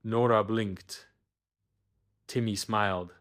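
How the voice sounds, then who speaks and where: Slow-paced man telling a bedtime story. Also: fairly low pitch, fairly even intonation, fairly bright tone. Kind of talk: scripted children's bedtime story narration.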